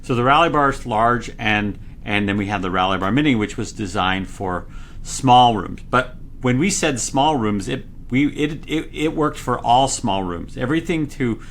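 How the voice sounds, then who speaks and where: A man, a product engineer, explaining products in a conversational interview, excitable and loud when he gets going, with many restarts. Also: mid-range voice, moderate pace, a little hiss or hum. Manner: frequent fillers and restarts.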